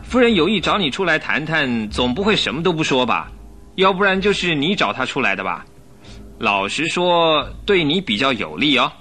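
A man's voice: a little high, very animated, normal speed, bright, forceful tone, soft background music, exaggerated voice. An actor playing a cocky, insistent police inspector in a radio drama, emphatic and pressing as he questions. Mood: pressing, suspicious. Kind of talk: Chinese radio drama acting.

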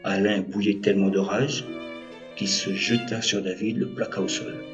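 A man narrating a scripted audio drama as a storyteller, in measured short phrases. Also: lowish voice, fairly even intonation, lo-fi sound. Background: music.

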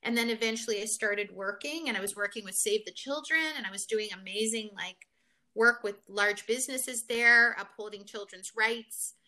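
A woman's voice: mid-range voice, normal speed, sharp tone. A woman from Kenya, a guest in a conversational interview, telling her story reflectively with many fillers.

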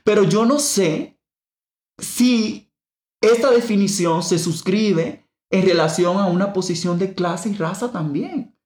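A Dominican-accented woman at a mid pitch, talking through ideas as they come, with many restarts and emphatic stresses. Her voice sits in a room that rings with noticeable echo. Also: takes it slowly, a little mellow. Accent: Dominican Spanish. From Dominican Republic. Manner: thinks aloud with frequent restarts and emphatic stress. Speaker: podcast host. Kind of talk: reflective conversational discussion.

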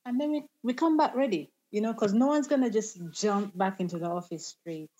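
A woman's voice: medium pitch, a little fast, warm tone, lo-fi sound. A woman guest in a casual podcast interview, talking animatedly and laughing easily.

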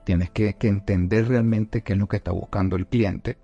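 Male podcast guest from Venezuela, low register, reflective and hesitant delivery, faint music bed. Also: fairly even intonation, normal speed, mellow, breathy edge, no echo, highs cut off. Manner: reflective, with many restarts and fillers. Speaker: guest, leadership coach. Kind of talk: conversational podcast interview.

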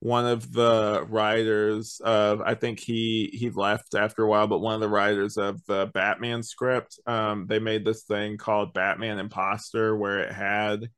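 Casting: male podcast host, lowish voice, casual reviewer, hesitant and rambling. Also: level tone, a little slow, few pauses, fairly bright tone, clean tone.

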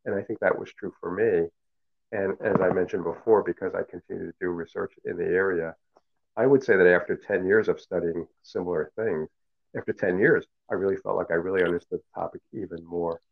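A middle-aged professor whose first language is Cantonese speaks in a low, gravelly voice. He answers slowly and thoughtfully, with many fillers and restarts. The sound is narrow and tinny, like a phone line. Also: steady tone. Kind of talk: interview answers.

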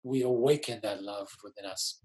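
A man's voice: fairly low pitch, normal speed. A man, a musician guest talking in a casual interview and explaining things thoughtfully. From Israel. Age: 40s. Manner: thoughtful, explains gently with many ums.

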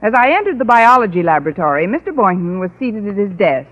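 An actress playing a quick-witted, sarcastic middle-aged English teacher in a 1940s radio comedy. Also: female voice, mid-range voice, sing-song. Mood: wry. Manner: dry, sarcastic wisecracks. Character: wry, quick-witted woman high school English teacher. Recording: scratchy old radio broadcast, tinny, audience behind.

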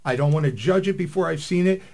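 A man's voice: a little high, fast, gravelly, a little mellow. A male radio co-host in casual panel chat, good-humored and teasing, keen to keep the peace.

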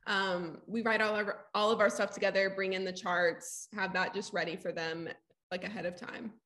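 A woman's voice: medium pitch, fairly even intonation, moderate pace, fairly bright tone. A woman chats casually in an interview as a singer, with a soulful, gritty voice and informal phrasing full of "like". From Southeast Texas, US. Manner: informal, frequent "like". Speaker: singer-songwriter in a sister duo.

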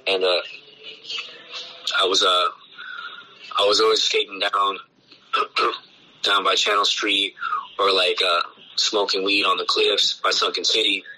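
A man, a punk band's bassist, talking loosely in a casual interview, full of swearing and filler words. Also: flat delivery, a little slow, long pauses, pushed and bright, slight background noise, low-quality recording. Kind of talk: casual podcast conversation.

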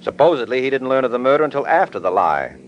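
An actor playing a cool, wry private detective who needles people in a 1950s radio drama. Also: male voice, mid-range voice, big pitch swings, fast talker, highs cut off, natural voice. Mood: confident, explaining. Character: wisecracking, cool male private detective, insistent and flirtatious. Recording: old radio recording with faint noise.

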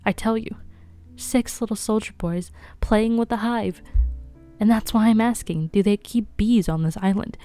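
A woman voicing a hysterical young games mistress who shrieks in a high, shrill voice. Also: normal speed, warm tone, soft background music, natural voice. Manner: high, shrill, laughing wildly.

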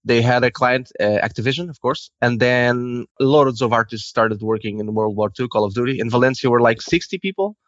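A man's voice: mid-range voice, brisk, hardly any pauses, no echo. Slightly muffled Portuguese-accented man being interviewed. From Lisbon, Portugal. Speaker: guest, video game character artist. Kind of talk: conversational interview.

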